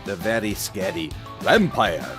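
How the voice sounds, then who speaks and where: A man co-hosting a podcast in casual chat, playful and a bit halting, with joking asides. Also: on the low side, big pitch swings, music in the background. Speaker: podcast co-host, co-creator and voice actor.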